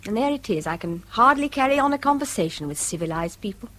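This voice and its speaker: Wry English wife, swooping high voice. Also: female voice, lively intonation, fast, a bit bright. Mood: self-deprecating, dejected. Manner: dry, wry, exclamatory. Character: weary, self-deprecating middle-class English wife, practical and wry. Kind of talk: British radio drama acting.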